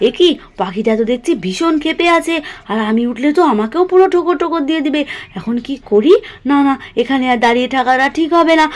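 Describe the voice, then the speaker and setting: A woman voicing an excitable, bossy older sister girl doll in a cartoon story, exclaiming and repeating herself. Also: sits high. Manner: exclaiming, hurried repetition.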